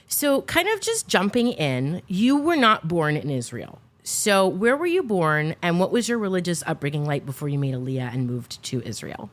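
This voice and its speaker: A woman's voice: lowish voice, very animated, normal speed, hardly any pauses. A young adult woman hosting a podcast interview over a remote call. She talks in a chatty, enthusiastic way with many "like" fillers. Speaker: podcast host. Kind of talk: casual interview chat.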